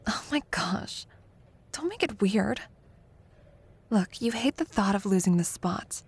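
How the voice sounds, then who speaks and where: Woman acting as breathy, unhurried, prickly college rival. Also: medium pitch, animated, heavily acted. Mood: coy, challenging. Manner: teasing, halting, flustered deflection. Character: competitive female college student, prickly, teasing, secretly fond rival. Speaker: audio roleplay performer. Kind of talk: intimate audio roleplay acting.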